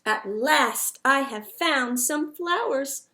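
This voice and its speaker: A storybook snowman acted out by a woman reader, naive and hopeful, with a high voice. He speaks slowly and wistfully, as if wondering at the world. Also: animated, bright, forceful tone, full character voice. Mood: joyful, weary. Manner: doubtful muttering, calling out, weary sighing.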